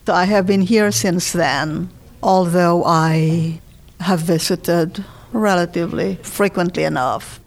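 An elderly woman from the Philippines speaks with a Filipino accent in a slightly low register. She shares personal history as an interviewee, reflective and measured in her storytelling. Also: fairly even intonation. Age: 70s. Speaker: interviewee telling life story. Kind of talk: oral history personal narration.

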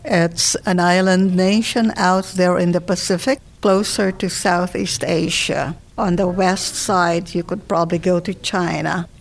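A woman in her seventies from the Philippines, with a Filipino accent, telling her life story in a measured, reflective way. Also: fairly low pitch, fairly even intonation, gravelly. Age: 70s. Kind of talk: oral history personal narration.

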